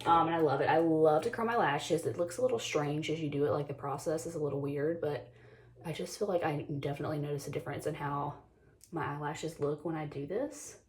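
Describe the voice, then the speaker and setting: A woman's voice: sits low, brisk. A woman in her twenties, a bit sick and losing her voice, chatting casually through a makeup routine with lots of "like" and "um.". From North Carolina, US. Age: 20s. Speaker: beauty vlogger. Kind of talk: casual get-ready-with-me chat.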